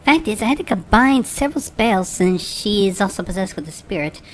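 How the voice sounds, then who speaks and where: A woman voicing an earnest, excitable female pony magician with a theatrical manner that moves between hushed and shrieking, in an animated fan drama. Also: medium pitch, a little fast, natural voice. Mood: grandiose. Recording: echoey room, long reverb, background hiss.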